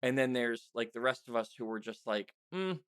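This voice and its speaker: A man's voice: mid-range voice, animated, moderate pace, a bit bright, smooth, no echo. A man with a mid-range voice hosts a casual conversation in a very dry recording. He talks in an anecdotal, informal way, often slipping into quoted lines to make his point. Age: 30s or older. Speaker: podcast host interviewer.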